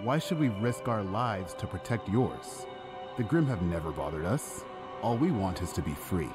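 A man playing a proud, distrustful talking animal in an animated fairy tale, grave and deliberate. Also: lowish voice, music in the background. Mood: accusing, distrustful.

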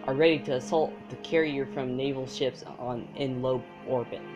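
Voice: medium pitch, fairly even intonation, normal speed. A gamer recording a casual let's play in front of a TV. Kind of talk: casual let's play commentary, reading intro text aloud. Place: room in front of a TV screen. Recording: dull muffled recording with loud game music behind. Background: loud game music from a TV.

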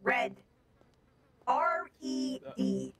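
A woman's voice: on the high side, wildly swooping pitch, extremely slow, a crowd in the background, full character voice. A woman voicing a curious, commanding female space robot with stilted, imperious phrasing in an improvised online game roleplay. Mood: commanding, inquisitive.

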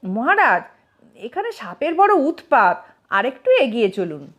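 Cheeky male court jester performed by a woman, comic tale, mock-earnest, animated, upper-register delivery. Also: a little high, unhurried, full character voice. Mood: mock concern. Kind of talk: dramatized comic audio storybook.

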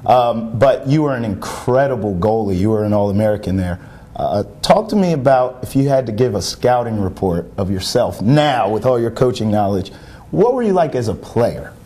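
A man's voice: fairly low pitch, animated, moderate pace, mellow. A man in his thirties hosting a local sports show in a TV studio, upbeat and playful, on a lapel mic. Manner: upbeat, playful broadcast delivery. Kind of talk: studio interview.

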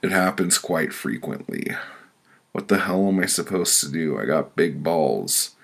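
A man with a rough, gravelly voice reading his own crude, profane comic stories in a casual, blunt first-person way. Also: very deep voice, animated, measured pace, a bit bright. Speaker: author reading their own audiobook.

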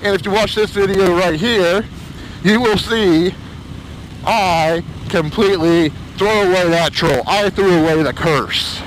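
A man talking casually to camera as a motorcycle vlogger, slightly hoarse, emotional and rambling with halting restarts. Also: sits high, slight background noise, low-quality recording.

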